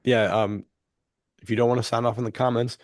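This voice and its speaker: A man's voice: mid-range voice, a little fast, no room sound, clean studio sound. A man co-hosting a podcast in casual, enthusiastic chat, earnest and slangy. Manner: earnest, animated wording with slangy "dude" and "like". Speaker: podcast co-host.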